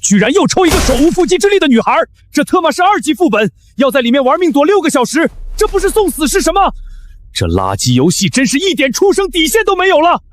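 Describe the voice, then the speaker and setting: A man voicing a foul-mouthed, indignant hothead in an animated drama, ranting crudely. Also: very high-pitched, quick pace, noisy background, full character voice. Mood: outraged. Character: hot-headed, foul-mouthed, indignant male onlooker. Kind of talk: animated web drama dubbing.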